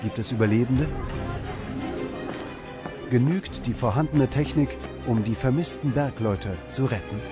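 A man reading scripted documentary narration in a measured, serious way. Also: medium pitch. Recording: music under the narration. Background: background music.

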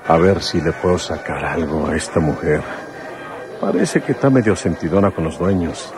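A man's voice: sits low, expressive, moderate pace. A man playing an older rancher from the north in a radio drama, folksy, hesitant and muttering. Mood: musing, worried. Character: older northern rancher father, smitten yet secretly fearful.

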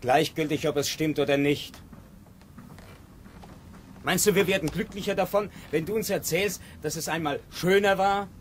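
An actor playing a bitter, scornful grandson in an early 1950s radio play. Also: male voice, a little high, normal speed, pauses often, natural voice. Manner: scornful, impatient. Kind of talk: 1950s radio drama acting. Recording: hissy old 1950s radio tape.

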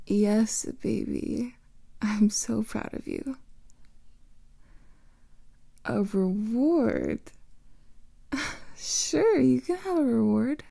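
A woman playing a playful, affectionate vampire lover in an ASMR roleplay, speaking softly and often in whispers into a close microphone. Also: a little high, extremely slow, creaky, long pauses, dry recording. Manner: soft teasing, often whispered.

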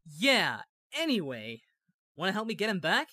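A man's voice: sits high, wildly swooping pitch, normal speed, pushed and bright, no echo, clean studio sound, full character voice. A man voicing a cheerful, naive, dim-witted young martial arts hero in a parody anime dub, childlike and innocently blunt. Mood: casual.

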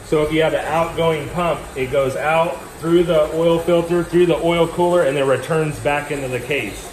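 A man with a fairly high voice walks a group through a big indoor machine shop, pointing things out and explaining each station as he goes. His voice bounces around the hard-walled room. Loud machine and air hum runs underneath him. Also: fairly even intonation, moderate pace, echoey room, poor audio. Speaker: shop tour guide. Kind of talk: walking shop tour explanation. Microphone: handheld camera from a few feet away. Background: machinery and ventilation hum.

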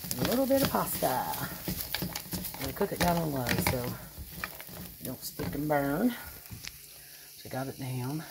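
A woman's voice: a bass voice, sing-song, normal speed, gravelly, long pauses, heavy background noise. A middle-aged woman, chatting casually to her viewers while cooking in her home kitchen. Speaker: vlogger. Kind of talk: casual home vlog narration. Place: small home kitchen, indoors. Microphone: handheld phone camera.

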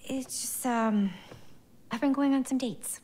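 High-voiced, caring mom. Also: female voice, measured pace, dark tone, slight background noise. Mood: hesitant. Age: middle-aged. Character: caring, tentative mother of a teenage son. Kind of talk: video game dialogue.

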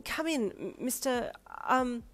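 Woman as a worried mother, middle-aged, hesitant, flustered, raised pitch, storybook character. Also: fairly even intonation, unhurried. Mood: anxious, fumbling. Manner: hesitant, fumbling. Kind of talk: acted story reading.

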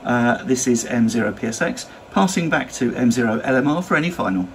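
British man, amateur radio instructor, demonstration style, animated pitch. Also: brisk, slight background noise. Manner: spells call signs in phonetic alphabet.

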